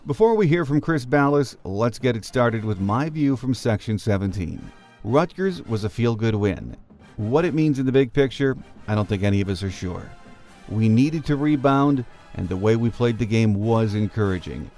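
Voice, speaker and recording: A man's voice: medium pitch, lively intonation, normal speed, darkish tone, faint music behind. A man hosting a sports podcast, delivering prepared introductions and commentary. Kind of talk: scripted show introduction and commentary.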